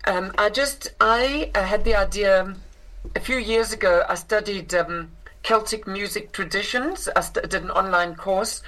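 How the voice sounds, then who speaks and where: A woman's voice: medium pitch, a little slow, fairly bright tone, poor audio. A woman with a South African accent, speaking as an interview guest in a conversational, explanatory way, hesitating and correcting herself as she goes. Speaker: interview guest, singer and actress.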